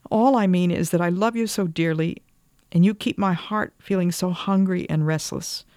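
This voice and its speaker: A woman's voice: low voice, lively intonation, normal speed, darkish tone, no room sound, clean studio sound. A woman voicing a passionate, wounded young wife in an old-fashioned storybook, with emphatic, pleading delivery. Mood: tearful reproach.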